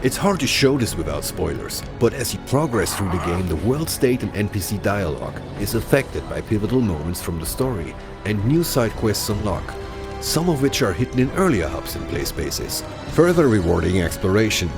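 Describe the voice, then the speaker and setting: A man's voice: fairly low pitch, very animated, moderate pace, creaky. A man presenting scripted promotional narration for a video game broadcast, polished and explanatory. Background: music.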